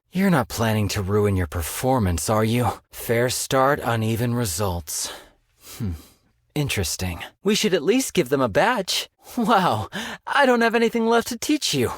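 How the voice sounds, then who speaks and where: An actor playing a sly young male stockbroker in a game, polite and businesslike with a quiet menace. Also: mid-range voice, very animated, unhurried, no echo, studio quality. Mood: suave. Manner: suave, polite yet menacing, business jargon. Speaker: game character voice lines. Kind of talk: studio game voice acting. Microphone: close studio mic.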